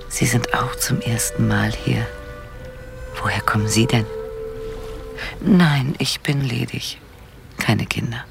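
Wary female lead, creaky and whispery, slow intimate inner monologue for radio crime drama. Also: heavily acted. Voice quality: low, creaky, often whispery. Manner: self-mocking inner monologue. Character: wary woman posing as harmless tourist.

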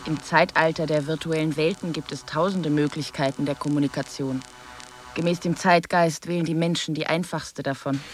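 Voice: medium pitch, steady tone, normal speed, slight background noise, lightly acted. A performer playing a detached, philosophical commentator, reading poetic narration in a radio drama. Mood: detached, reflective.

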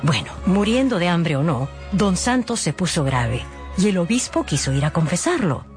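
Animated female storyteller over music. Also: lowish voice, moderate pace. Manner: expressive, storytelling with conversational asides. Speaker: narrator. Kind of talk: dramatized audiobook narration in Spanish.

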